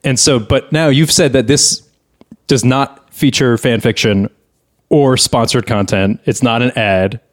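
Man, podcast host, mid pitch, casual banter, skeptical, questioning. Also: unhurried.

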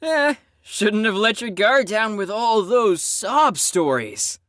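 A woman's voice: mid-range voice, expressive, slow, a bit bright, dry recording, clean studio sound. A woman playing a cocky, sardonic, competitive character who teases others in an audio drama. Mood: teasing. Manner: teasing, sardonic.

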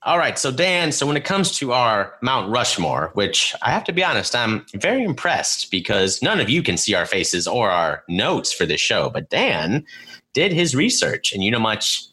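A man's voice: mid-range voice, very animated, moderate pace, pushed and bright, husky. A man co-hosting a podcast, chatting casually and joking with his friend, swearing now and then. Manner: joking, swears, self-deprecating. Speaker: podcast co-host. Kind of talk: casual banter.

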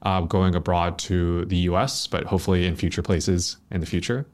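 A man's voice: low voice, little pitch movement, normal speed, darkish tone. A man hosting an interview in a studio, talking in an easygoing way with frequent filler words as he frames his questions. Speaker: podcast host.

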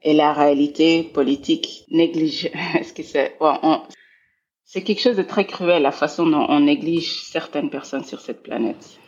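Belgian woman, 40s, interview guest; husky, gravelly voice, thoughtful and searching, slightly muffled audio. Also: low voice, steady tone, measured pace, darkish tone. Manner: thoughtful, repeats words while searching for phrasing. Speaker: guest, scholar of international relations. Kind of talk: reflective interview talk.

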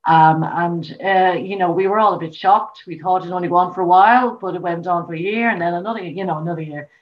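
A woman's voice: fairly low pitch, quick pace, lo-fi sound. An Irish woman, a musician guest, chatting casually in an interview over a remote call, rambling with many repeats and fillers. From Ireland.

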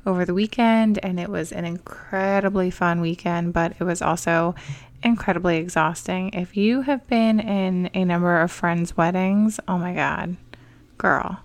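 Upbeat woman podcast host, slightly raspy. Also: fairly low pitch, unhurried. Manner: upbeat, encouraging, chatty. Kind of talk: motivational podcast talk.

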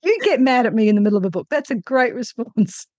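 A woman's voice: on the high side, animated, quick pace, darkish tone, no room sound, lo-fi sound. Thoughtful woman in a dry-sounding interview. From Australia. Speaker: author being interviewed. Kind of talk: conversational interview answers.

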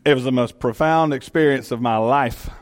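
A man's voice: medium pitch, normal speed. Earnest Georgia man telling his story. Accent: Southern US, Georgia. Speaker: county commission chairman. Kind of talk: personal testimony to an audience.

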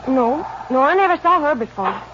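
A woman's voice: high-pitched, expressive. An actress playing a guarded young woman who evades the lawmen's questions, in a 1950s radio drama. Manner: guarded, evasive, quick denials. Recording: dull lo-fi old radio broadcast with faint hiss.

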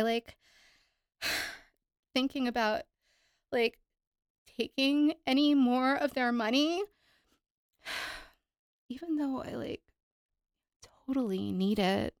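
Actress as a young inmate, valley-girl slang, high gravelly voice, dry close sound. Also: animated, takes it slowly, pauses often, no room sound, heavily acted. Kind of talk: audio play acting.

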